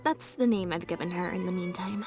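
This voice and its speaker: A woman's voice: on the low side, fairly quick, over music, natural voice. Woman playing a composed, observant lady. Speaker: video game voice actor. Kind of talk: English game dub dialogue.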